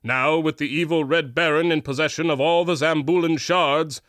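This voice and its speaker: An actor playing a grandiose old-time radio serial announcer, narrating in a bombastic, theatrical way. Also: male voice, fairly high pitch, fairly even intonation, normal speed, a bit bright, no echo, studio quality, close to an everyday voice. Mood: grand, suspenseful. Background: music.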